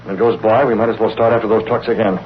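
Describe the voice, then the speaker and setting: A man's voice: lowish voice, fairly even intonation, rapid-fire, natural voice. A man playing a decisive, commanding vigilante leader in old-time radio drama style. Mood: calculating. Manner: commanding, clipped, urgent. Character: decisive masked vigilante leader, planning calmly. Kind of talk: 1930s-40s radio acting. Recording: noisy, muffled old radio recording.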